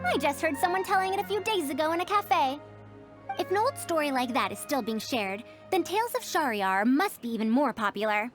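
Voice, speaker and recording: A high-pitched, haughty lady scholar. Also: expressive, a little fast. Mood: proud, nostalgic. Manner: pedantic, precise, exclamatory. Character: haughty scholarly woman, old-fashioned literary critic, young voice. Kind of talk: anime video game voice acting. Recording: game audio over clear background music.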